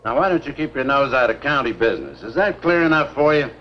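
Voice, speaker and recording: An actor playing a hostile, territorial county sheriff in a 1950s B-movie, gruff and sarcastic. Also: male voice, mid-range voice, expressive, fast talker, close to an everyday voice. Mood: threatening. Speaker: film actor. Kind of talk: 1950s B-movie screen acting. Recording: muffled, noisy 1950s film soundtrack.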